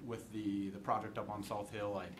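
A man's voice: on the low side, little pitch movement, brisk, noisy background. A man serving as alderman, questioning a presenter, hesitant as he works through figures. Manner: hesitant, working through numbers. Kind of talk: council questioning. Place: council chamber.

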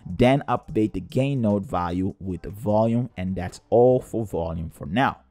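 A man's voice: fairly low pitch, measured pace, mellow, dry recording. A man narrating a scripted step-by-step coding tutorial in a methodical, explanatory way. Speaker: tutorial presenter.

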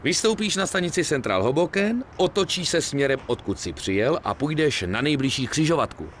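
A man's voice: fairly high pitch, animated, slight background noise, lightly acted. A man voicing a weary, streetwise middle-aged gangster in a Czech video game dub. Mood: businesslike. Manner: colloquial, grumbling, swearing.

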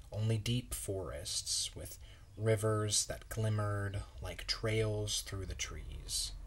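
A man in his thirties reading a children's picture book aloud in a measured, wondering way with pauses. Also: on the low side, slight background noise. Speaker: children's story reader. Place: small bright room at home, indoors.

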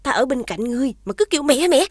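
A woman's voice: sits high, lively intonation, fast talker, slight background noise. A woman voicing a scheming witch posing as the queen's sister. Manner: haughty, commanding to servants, sweet to the king. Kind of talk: dubbed cartoon acting. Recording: cartoon soundtrack with music behind.

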